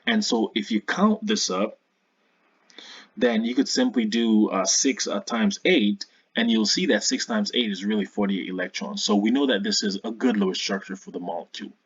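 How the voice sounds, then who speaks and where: A man's voice: on the low side, lively intonation, normal speed, breathy edge, no echo, muffled recording. A man giving an informal science tutorial as a video host, hesitant, often repeating and correcting himself.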